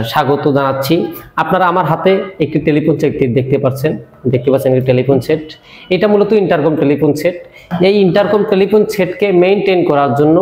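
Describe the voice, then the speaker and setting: A man's voice: on the high side, darkish tone. A man with a Bangladeshi accent presenting a product in an informal demonstration, explaining repetitively and addressing viewers directly. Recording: echoey, reverberant room.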